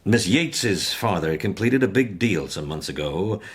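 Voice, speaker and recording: A wealthy middle-aged father in a period radio drama. His voice is deep, and his speech is grave, formal and a little hesitant with worry. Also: animated, moderate pace.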